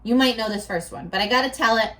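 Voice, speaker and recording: A woman teaching young children in a read-aloud lesson, speaking in an animated, playful way and asking questions as if the viewers answer back. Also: a little high, fast talker. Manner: asks questions and answers imagined replies.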